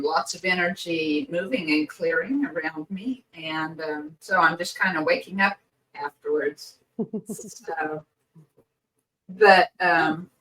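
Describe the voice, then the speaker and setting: A woman co-hosting a podcast, speaking slowly and reflectively with many pauses, her voice a little hoarse and croaky. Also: lowish voice, a bit bright, distant mic, low-quality recording. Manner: hesitant, many pauses and fillers. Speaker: podcast co-host. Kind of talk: slow reflective podcast chat.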